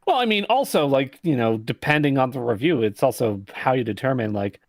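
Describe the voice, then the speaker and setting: A man's voice: mid-range voice, animated, brisk, dry recording, clean studio sound. A man co-hosting a casual book club podcast, easygoing, laughing often. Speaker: podcast co-host.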